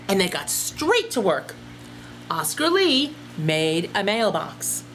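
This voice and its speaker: Woman teacher, children's read-aloud; highly animated intonation, unhurried, addresses kids directly, faint background noise. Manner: expressive, addresses young listeners directly. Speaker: teacher reading to pupils.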